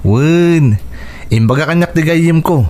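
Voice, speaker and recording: A man's voice: on the high side, measured pace, a little mellow, natural voice. A man with an Ilocano accent playing a helpful, persuasive older cousin in a radio drama, friendly and encouraging.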